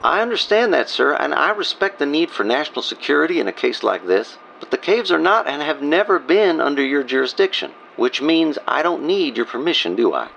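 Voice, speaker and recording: Gravelly, stubborn lawman played by a man. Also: a little high, sing-song, brisk, few pauses, fairly bright tone, full character voice. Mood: defiant. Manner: polite but defiant. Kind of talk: naturalistic audio drama acting. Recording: muffled, noisy lo-fi line.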